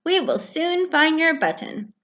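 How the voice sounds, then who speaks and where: A woman's voice: high-pitched, lively intonation, unhurried, pitched above the performer's own voice. A woman voicing a kind, cheerful male frog friend in a storybook reading. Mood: helpful. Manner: bright and helpful, eager.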